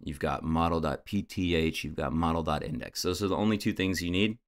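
A young man casually guiding viewers step by step through a software setup, with frequent "uh"s. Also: sits low, steady tone, fairly quick. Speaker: tech tutorial host. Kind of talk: screen-recorded software tutorial.

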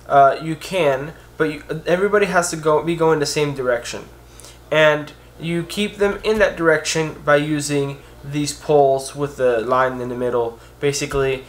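A young man with a fairly high voice talks straight to the camera in a casual vlog. He is earnest and animated, and his pitch climbs when he presses a point. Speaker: vlogger on blindness. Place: indoors, a green-screen backdrop of store photos.

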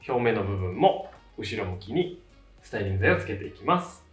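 A man's voice: lowish voice, very animated, fairly quick, a bit bright. A man in his thirties explaining a tutorial step by step in a casual, polite instructional style. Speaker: hairdresser giving a tutorial, channel host. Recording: roomy echo, distant mic, faint music. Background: faint background music.

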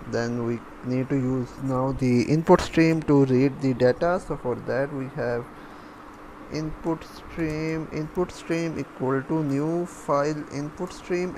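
A man giving a coding screencast tutorial, dictating step by step in short, halting phrases. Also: medium pitch. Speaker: programming tutorial instructor. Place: voice-over for a screen recording. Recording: clear steady background noise.

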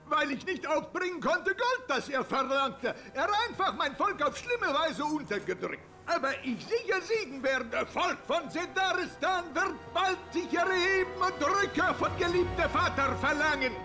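An actor playing a pompous, deluded ousted dictator in a video game, speaking broken phrases with a foreign accent. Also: male voice, extremely high pitch, lively intonation, normal speed, few pauses. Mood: grandiose, self-pitying. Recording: music behind the voice.

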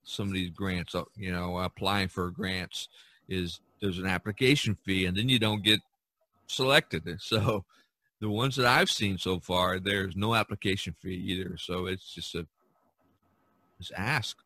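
Low, bright-voiced male podcast host. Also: steady tone, unhurried, dry recording. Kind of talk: casual podcast discussion.

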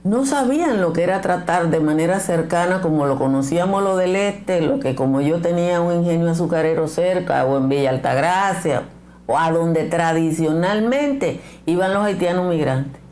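An older woman with a Dominican Spanish accent and a somewhat low voice gives opinion commentary to camera. She is blunt and emphatic, gesturing as she argues. Her voice is close and clean through a lapel mic in a small studio set. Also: moderate pace, darkish tone. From Dominican Republic. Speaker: political commentator.

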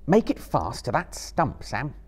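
A man voicing an earnest, determined, gentlemanly hobbit adventurer, thoughtful and well-spoken, in a live storybook reading. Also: mid-range voice, huge pitch swings, a little slow, slightly rough, pitched above the performer's own voice, full character voice. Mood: resolute.

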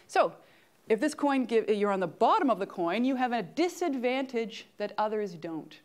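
A woman's voice: fairly high pitch, very animated, normal speed, a little mellow. A woman in her forties with a Canadian accent, giving an interactive lecture on an auditorium stage and often checking in with the audience. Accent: Canadian English. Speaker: guest speaker at grand rounds.

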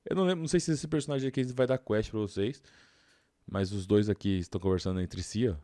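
A man's voice: fairly low pitch, fast talker, dark tone, no room sound. A young man with a Brazilian accent giving casual gameplay commentary, informal and slangy, thinking aloud. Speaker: gaming video host.

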